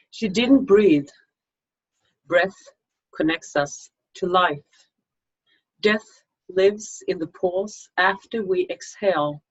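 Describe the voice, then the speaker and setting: Female author-reader, Swedish accent. Husky voice, measured slow delivery, slightly dull lo-fi recording. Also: fairly low pitch. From Sweden. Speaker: magazine co-publisher and author reading her own story. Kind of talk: informal introduction, then slow literary story reading. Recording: dull lo-fi microphone sound.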